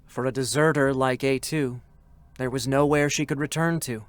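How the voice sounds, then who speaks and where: A man's voice: medium pitch, fairly even intonation, normal speed, dry recording, lightly acted. An actor playing a youthful, earnest male scout android in a dramatic reading of a game character. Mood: solemn. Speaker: character narrator. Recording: music behind the voice. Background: music.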